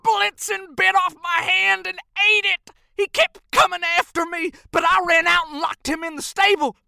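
A narrator voicing a hysterical wounded male elf in a storybook, shouting in panicked, gasping outbursts. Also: very high voice, steady tone, moderate pace, vocal fry, no echo, studio quality, voice pushed up, exaggerated voice. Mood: angry, urgent.

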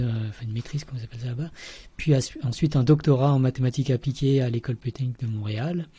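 A man's voice: mid-range voice, dark tone, husky. A man in his thirties, a podcast guest and researcher, explaining at length with many fillers and restarts. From Vietnam, raised in the Paris region. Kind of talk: casual interview talk.

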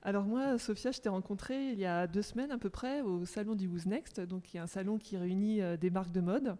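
A woman hosting a podcast, reading a scripted introduction and then interviewing a guest in a relaxed way with many fillers. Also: mid-range voice, brisk. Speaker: podcast host.

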